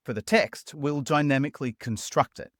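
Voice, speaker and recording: A man hosting a software tutorial as a scripted voice-over, explaining steps in an upbeat, conversational way. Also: medium pitch, normal speed, dry recording, studio quality. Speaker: tutorial host.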